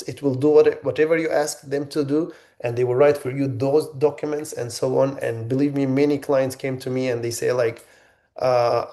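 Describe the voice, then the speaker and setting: Halting man from Egypt, mellow voice. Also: mid-range voice. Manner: halting, many restarts and fillers. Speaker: webinar host. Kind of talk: webinar presentation.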